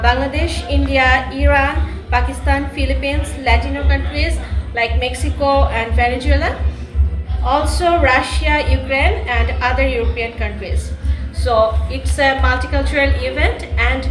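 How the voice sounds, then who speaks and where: Woman organizer talking friendly, high voice, switches to english now and then, echoey with music. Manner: friendly, mixes English words into her speech. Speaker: event organizer. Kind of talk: interview statement. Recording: echoey venue with music behind.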